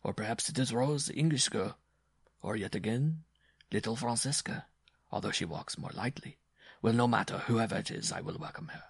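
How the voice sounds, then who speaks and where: A man's voice: mid-range voice, moderate pace, gravelly, breathy edge, dry recording. A man voicing a vain, jovial male circus rider and ladies' man, with a teasing, self-satisfied manner in a storybook reading. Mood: smug, expectant.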